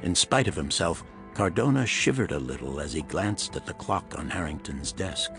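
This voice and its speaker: A man narrating a pulp thriller audiobook in a dramatic, husky storytelling voice. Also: low voice, big pitch swings, normal speed, over music.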